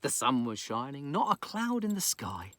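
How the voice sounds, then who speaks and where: Male, children's storyteller, theatrical pirate persona, bright forceful tone, very animated intonation. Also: medium pitch, moderate pace, dry recording. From New Zealand. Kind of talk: rhyming children's story read aloud with casual asides.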